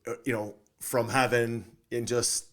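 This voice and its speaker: A man's voice: mid-range voice, level tone, measured pace, fairly bright tone. A man hosting a podcast in an upbeat interview style, enthusiastic and affirming as he talks. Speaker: podcast host.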